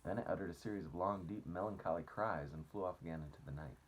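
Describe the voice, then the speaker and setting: A man with a deep voice reads a children's story aloud. His tone is husky, and his delivery is that of an expressive storyteller. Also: fast talker.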